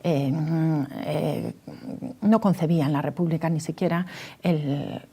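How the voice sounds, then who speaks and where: A woman's voice: sits low, fairly even intonation, slow, creaky, dark tone, slightly rough. A woman from Spain with a Spanish accent speaks as a history expert in an interview, explaining discursively and thinking aloud.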